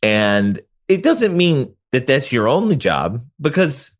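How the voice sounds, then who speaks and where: A man speaking as a guest expert in an interview, explaining research in an animated, colloquial way and acting out imagined quotes. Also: mid-range voice, slow. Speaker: guest expert, psychology professor.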